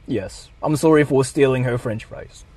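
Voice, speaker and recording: A man livestreaming a mock apology to his viewers, speaking in deliberate, halting short phrases. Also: medium pitch, a little mellow.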